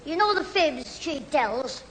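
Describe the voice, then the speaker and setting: A man's voice: very high voice, animated, pushed and bright, big-room sound, heavily acted, poor audio. A man playing a cheeky, scheming schoolboy in a 1970s British radio sitcom. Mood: defensive. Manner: cheeky, quick to fib and bluster. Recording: old radio recording, faint hiss, studio audience.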